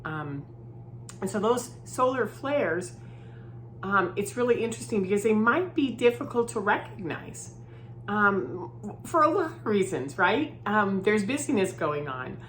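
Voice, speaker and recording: A woman's voice: mid-range voice, big pitch swings, a little slow, fairly bright tone, a little hiss or hum. A woman from Southern California with a head cold and a groggy voice, chatting in a casual vlog from her new home and often tagging "right?" onto her points. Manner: chatty, filler-heavy, often adds "right?". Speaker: vlogger.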